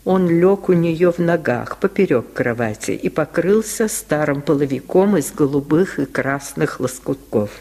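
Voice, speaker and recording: A man narrating a literary tale for radio as a storyteller, in a measured, expressive, dramatic style. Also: a little high.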